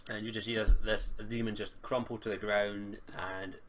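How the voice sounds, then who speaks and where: A man with a Scottish accent improvising narration as game master, in a halting, informal way. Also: on the low side, level tone. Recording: faint noise and clicks behind voice.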